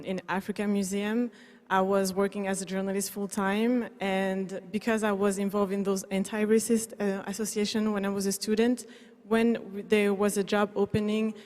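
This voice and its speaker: A woman from Belgium speaks thoughtfully and earnestly as a panelist, her voice at an ordinary mid pitch. Long reverb from a large museum hall surrounds her. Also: darkish tone. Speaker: museum public services staff, panelist. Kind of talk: panel discussion.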